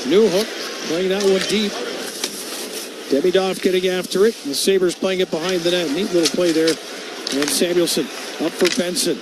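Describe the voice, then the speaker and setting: A North American man calls hockey play-by-play in a notably high voice. He speaks as a live radio commentator, with clear noise from the arena around his booth.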